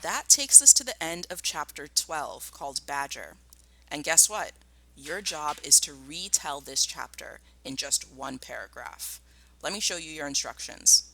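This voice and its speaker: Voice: medium pitch, lively intonation, unhurried, pushed and bright, poor audio. A primary school teacher explaining a lesson and narrating a storybook expressively.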